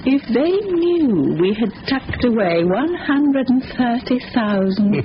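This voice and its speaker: A woman's voice: on the high side, animated, slow. An actress playing a languid, flirtatious and shrewd female swindler in a radio drama, with a drawling delivery. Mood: flirtatious, smug. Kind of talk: 1970s radio drama acting. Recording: dull, noisy old radio broadcast.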